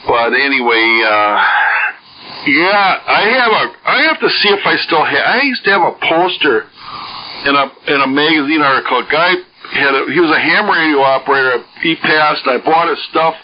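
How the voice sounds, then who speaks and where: A man's voice: a little high, lively intonation, moderate pace, lo-fi sound. A man with an American Midwestern accent chatting casually over two-way radio, rambling and thinking aloud. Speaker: amateur radio net participant. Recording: noisy band-limited shortwave radio transmission.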